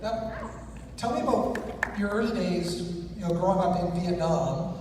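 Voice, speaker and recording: A man's voice: high voice, normal speed. A man moderating a convention Q&A panel, enthusiastic and addressing the audience. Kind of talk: convention Q&A interviewing. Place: large convention hall. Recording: echoey hall, distant mic, noisy.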